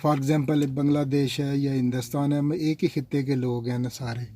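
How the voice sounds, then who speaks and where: A man's voice: mid-range voice, little pitch movement, moderate pace, dark tone. A man from Pakistan, vlogging in a casual, rambling way and talking straight to his viewers. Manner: chatty, rambling, addresses viewers as nazreen. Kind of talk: casual vlog talk to viewers.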